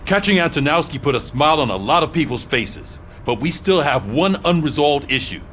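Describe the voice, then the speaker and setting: An actor playing a wary, confiding police superior in a video game, talking in a businesslike, conversational way. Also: male voice, a little high, animated, fairly quick, a bit bright, slight background noise.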